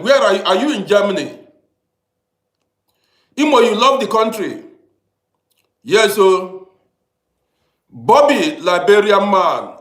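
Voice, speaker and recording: A man's voice: high voice, reverberant. Chatty African man hosting a livestream. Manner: chatty, repeats himself, greets commenters by name. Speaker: livestream host. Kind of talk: casual livestream chat greeting viewers. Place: lives in Europe.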